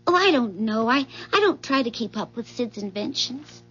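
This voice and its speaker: An actress playing a flustered, polite housewife in a 1970s radio drama, with a fluttery, hesitant way of correcting herself. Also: female voice, fairly high pitch, lively intonation, normal speed, close to an everyday voice. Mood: evasive, hesitant. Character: flustered, polite housewife, inventor's evasive wife. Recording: dull vintage 1970s radio recording.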